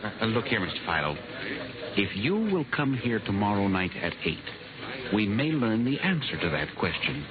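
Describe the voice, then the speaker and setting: Timid earnest clerk, swoopy intonation, old radio drama, thin muffled noisy sound. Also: male voice, on the low side, sing-song, moderate pace, a crowd in the background. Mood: polite, earnest. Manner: hesitant, stammering, deferential. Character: earnest, timid, fussy male records clerk with theories. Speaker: actor. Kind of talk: 1950s radio drama acting.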